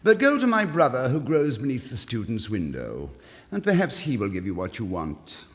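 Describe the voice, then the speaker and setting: A grave, stately rose tree from a fairy tale, voiced by a man, speaking in solemn, measured phrases. The acting is in an old literary record style. A long reverb hangs on the vintage recording. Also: mid-range voice, huge pitch swings.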